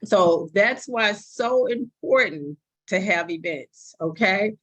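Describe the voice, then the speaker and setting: A woman's voice: medium pitch, expressive, takes it slowly, fairly bright tone, rough recording. A woman on a video call giving an emphatic motivational talk to her team and introducing a video. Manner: emphatic, repetitive.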